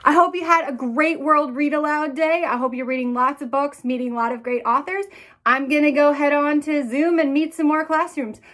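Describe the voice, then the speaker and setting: A woman's voice: sits high, steady tone, moderate pace, fairly bright tone. A woman in her thirties, a children's author, reading picture books aloud expressively and speaking directly to young listeners. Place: small indoor room with bookshelves.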